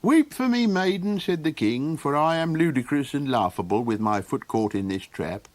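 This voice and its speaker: A man voicing a pompous, complaining old king, theatrical and high in an audiobook. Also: animated, moderate pace, no echo, lightly acted. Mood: self-pitying. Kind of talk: audiobook character voice.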